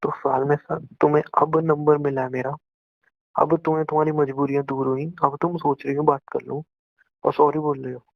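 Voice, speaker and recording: A man's voice: a little high, level tone, fairly quick, gravelly, no room sound. A young Indian man in an emotional phone conversation with an ex, hurt and close to tears, reproachful and repeating himself. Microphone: phone call. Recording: muffled lo-fi phone call recording.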